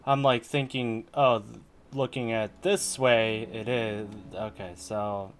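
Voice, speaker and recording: A man's voice: medium pitch, steady tone, slow pace, slight background noise. A man giving casual commentary on a game playthrough, muttering as he thinks aloud. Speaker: game streamer.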